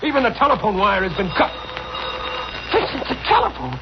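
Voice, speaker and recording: An actor playing a frightened, haunted man in 1940s radio style, anxious, halting and melodramatic. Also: high-pitched, wildly swooping pitch, vocal fry, full character voice. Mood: nervous urgency. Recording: muffled noisy old radio recording.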